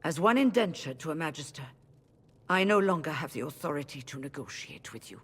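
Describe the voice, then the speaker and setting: A weary leader of rebel mages in a fantasy game. Her voice is husky and on the low side, formal and measured, with a defensive edge. It rings with long hall reverb. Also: expressive. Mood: resigned.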